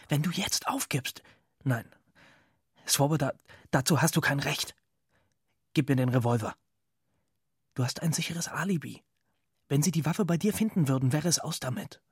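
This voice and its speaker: A man's voice: fairly high pitch, breathy edge, dry recording, studio quality. A male actor playing earnest and commanding men in a German radio play.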